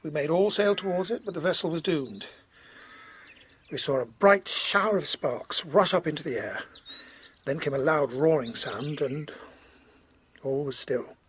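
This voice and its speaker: A man playing a courteous, earnest Georgian colonel in a period audio drama, recounting gravely in formal, slightly hesitant speech. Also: on the high side, a bit bright, a little hiss or hum. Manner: formal, hesitant, measured storytelling.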